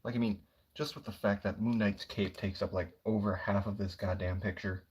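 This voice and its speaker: A young man talking quietly and casually on a livestream, rambling about comic books with lots of fillers. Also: lowish voice, fairly even intonation, normal speed, husky. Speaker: comic book streamer.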